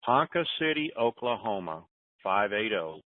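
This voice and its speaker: A man's voice: lowish voice, sing-song, normal speed, no room sound. A plain-spoken, folksy man hosting an informal conference call over the telephone. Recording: narrowband tinny phone line.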